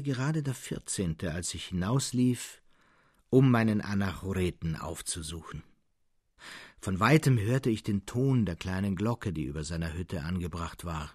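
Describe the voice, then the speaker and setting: A thoughtful, solemn storyteller in a literary radio drama. His low voice is husky and moves expressively through each deliberate phrase, recorded close and dry. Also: a little mellow, dry recording. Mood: somber, hushed. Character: thoughtful male storyteller Cyprian, fascinated by madmen.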